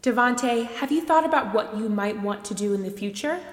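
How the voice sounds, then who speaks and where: A woman's voice: a little high, expressive, fairly quick, lightly acted. A woman playing a composed, formal news reporter who reports and asks interview questions in an audio drama. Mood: composed, inquiring. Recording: echoey reverberant hall.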